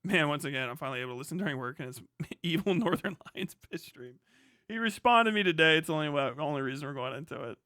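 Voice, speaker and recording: A man's voice: a little high, animated, fast talker, sharp tone, dry recording, clean studio sound. A man hosting a casual livestream, talking in a blunt, profane, mocking way. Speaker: livestream host and podcaster. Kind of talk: casual livestream rant and commentary.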